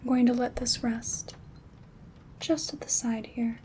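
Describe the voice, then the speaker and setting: A woman playing a gentle, affectionate witch in a soft-spoken, whispered ASMR roleplay, soothing and tender with a breathy hush. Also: fairly high pitch, close to an everyday voice. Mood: calm, soothing. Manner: soothing, slow, hushed, formal and tender wording. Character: gentle, affectionate female witch and caring friend. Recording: steady background rain ambience noise.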